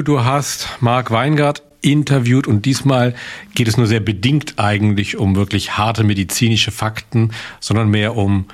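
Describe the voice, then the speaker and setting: A man hosting a podcast, announcing in a brisk, upbeat way from a training course. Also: on the low side. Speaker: podcast host. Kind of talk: casual podcast chat.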